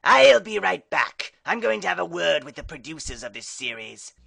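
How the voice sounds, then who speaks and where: Man voicing a gravelly, pompous cartoon villain. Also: a little high, normal speed, full character voice. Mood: sarcastic, pompous. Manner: theatrical, deadpan sarcasm. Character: pompous villain warlord animal, sarcastic and menacing. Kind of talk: parody cartoon dub acting.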